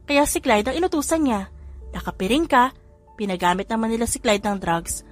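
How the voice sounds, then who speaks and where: A woman voicing an excitable, gossipy young woman friend who talks in gushing exclamations. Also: on the high side, brisk, faint music behind. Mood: confiding.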